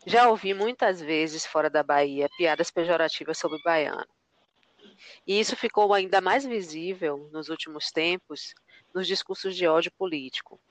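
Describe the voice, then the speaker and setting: A woman's voice: on the low side, normal speed, dry recording, rough recording. A woman interviewer putting a carefully phrased question to her guest.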